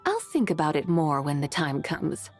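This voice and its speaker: A woman's voice: low-pitched, big pitch swings, normal speed, a little mellow. A woman voicing a gracious, wise ancient immortal in a video game, speaking formally and with poise. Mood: gently musing. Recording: background music.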